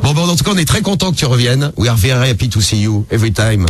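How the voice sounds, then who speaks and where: Lively joking French radio host. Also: male voice, medium pitch, quick pace. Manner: joking, mixes broken English into French. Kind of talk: playful radio interview.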